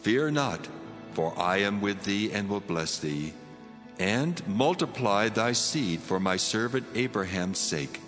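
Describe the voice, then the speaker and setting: A man's voice: on the low side, lively intonation, slow, a bit bright. Man voicing solemn God in vast reverb. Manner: solemn, stately. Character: God, solemn majestic male voice giving blessing. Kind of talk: dramatized scripture reading. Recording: long cavernous reverb, music behind. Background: music.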